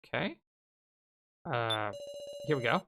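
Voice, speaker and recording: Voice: mid-range voice, lively intonation, takes it slowly, vocal fry, a bit bright. A let's play host giving casual, chatty gameplay commentary and reading game text aloud, reacting as they go. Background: video game music.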